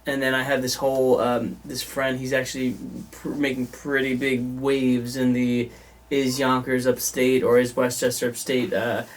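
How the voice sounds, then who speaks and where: A man's voice: mid-range voice, flat delivery, moderate pace, rough recording. A man from the Bronx, telling a rambling story with hesitant fillers in a casual podcast chat.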